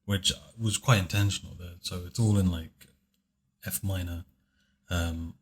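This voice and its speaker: A man with a very deep, low voice, chatting casually in a commentary on his own video, speaking softly and hesitantly with many fillers. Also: steady tone, a little slow. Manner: soft-spoken, hesitant, with many fillers and restarts. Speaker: video creator giving commentary.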